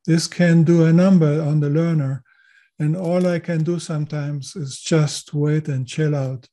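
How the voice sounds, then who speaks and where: A man in his seventies speaks with a mellow, slightly raised voice. He is a native German speaker who grew up in Chile. He gives measured answers as an interview guest. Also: on the high side, steady tone. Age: 70s. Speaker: GIS consultant and lecturer, interview guest.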